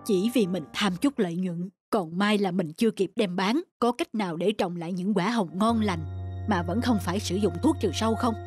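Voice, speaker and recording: A woman's voice: medium pitch, moderate pace, music in the background, lightly acted. A woman playing a conscientious, thoughtful male farmer in a children's cartoon, earnest and reflective.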